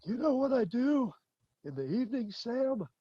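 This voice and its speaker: A man playing an old, half-deaf grandfather shoemaker who talks in a slow, wandering way. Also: very high-pitched, very animated, slightly rough, no echo, muffled recording, full character voice. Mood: gentle. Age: older. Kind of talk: stage play acting.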